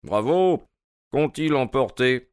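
A man voicing a keen, sharp-witted middle-aged detective in an audiobook, asking crisp, probing questions. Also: mid-range voice, lively intonation, takes it slowly, no room sound, studio quality, voice pushed up. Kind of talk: audiobook character reading.

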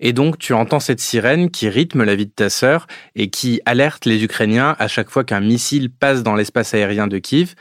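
A man's voice: mid-range voice, normal speed, few pauses, no echo. Male podcast interviewer, dry studio sound. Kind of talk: prepared interview narration and questions.

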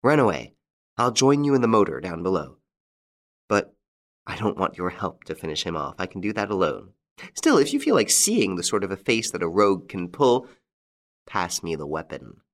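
A man reading an audiobook and voicing a vengeful, furious middle-aged widow in a shrill, taunting voice. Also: very animated, normal speed. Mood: cold resolve.

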